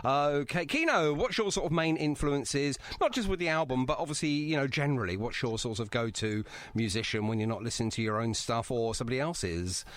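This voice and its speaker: A man hosts a casual music podcast in an average-pitched voice. He is affirming and wanders through his questions in an unscripted, conversational way. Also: expressive, brisk, hardly any pauses, dry recording. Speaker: podcast host interviewing a band.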